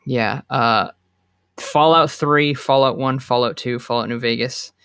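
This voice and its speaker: Gravelly, opinionated young man. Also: medium pitch, steady tone, a bit bright, no room sound, studio quality. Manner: measured and opinionated, with hesitations. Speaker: podcast co-host. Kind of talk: casual gaming podcast chat.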